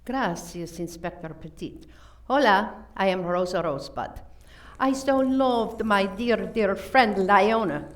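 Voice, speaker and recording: A woman's voice: mid-range voice, lightly acted. A woman playing a flirty, scatterbrained Spanish socialite with theatrical, gushing delivery in a comic radio play. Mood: gushing, coy. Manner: theatrical, gushing, drawn-out emphasis. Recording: distant mic with background noise.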